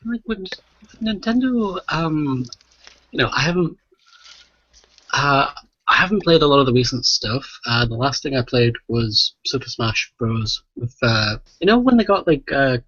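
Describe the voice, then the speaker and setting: A man's voice: medium pitch, lively intonation, a little slow, fairly bright tone, no room sound, lo-fi sound. A man hosting a casual, sweary podcast in a playful, jokey way. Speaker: podcast host.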